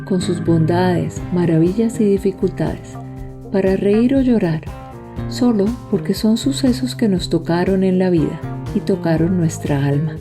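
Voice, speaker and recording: Low-pitched older woman narrating over music. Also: unhurried. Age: 60s. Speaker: podcast host, narrator. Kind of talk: scripted narration. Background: guitar music.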